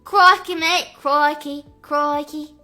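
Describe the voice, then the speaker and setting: A young woman from the Midwest chatting playfully on a live stream, answering chat guesses, full of "like" fillers and drawn-out vowels. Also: high-pitched, steady tone, sharp tone, faint music behind. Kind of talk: casual live-stream chat reading comments.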